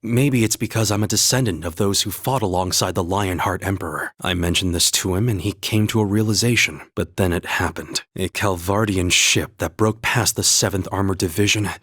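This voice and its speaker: A man playing an earnest young holy knight in a video game, with a husky voice and a measured, formal, noble delivery. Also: deep voice, fairly bright tone, no room sound. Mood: solemn, measured. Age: young adult.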